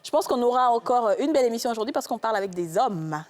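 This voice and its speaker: A woman's voice: on the high side, animated, fast. A woman with an Ivorian French accent hosting a studio talk show, playful and teasing, saying she has the flu. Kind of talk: lively studio chat.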